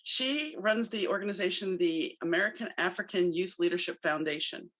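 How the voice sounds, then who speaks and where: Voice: mid-range voice, moderate pace, a bit bright, low-quality recording. A podcast host introducing a guest and asking questions in a friendly, conversational way. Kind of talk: casual interview.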